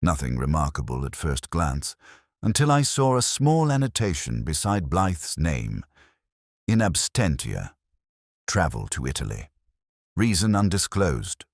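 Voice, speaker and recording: A man narrating a detective mystery in the first person as an ageing, contemplative detective, in a measured, literary, reflective manner. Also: deep voice, dry recording, clean studio sound. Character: ageing male detective, melancholy, contemplative, world-weary.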